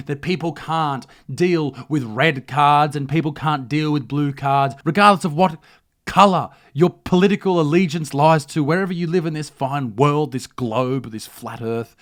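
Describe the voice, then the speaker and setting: A man's voice: on the high side, a bit bright. An Australian man hosting a comedic news rant, blunt, mocking and full of swearing. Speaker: comedy news commentary host. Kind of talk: comedic rant to camera, mock news delivery.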